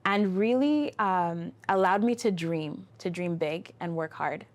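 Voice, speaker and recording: A woman's voice: fairly low pitch, measured pace. A woman from Canada, a guest in an interview, telling her story in an articulate, flowing way. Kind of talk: interview conversation.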